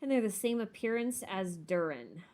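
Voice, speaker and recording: A woman's voice: medium pitch, very animated, normal speed, darkish tone. A woman hosting a livestream, answering viewer questions in a casual, opinionated way as she thinks aloud, with informal, emphatic phrasing. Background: background music.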